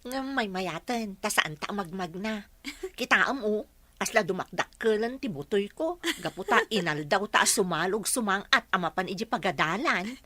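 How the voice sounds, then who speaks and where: A woman's voice: mid-range voice, expressive, moderate pace, pushed and bright, dry recording. An actress playing a cheerful, joking young village girl in a radio comedy drama, speaking playfully. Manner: playful, teasing.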